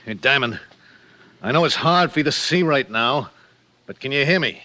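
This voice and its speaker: An actor playing a brutish, mocking henchman in a 1940s radio crime drama, rough and menacing. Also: medium pitch, expressive, moderate pace, sharp tone. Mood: mocking menace. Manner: taunting, rough. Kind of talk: 1940s radio detective acting. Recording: very noisy old radio broadcast.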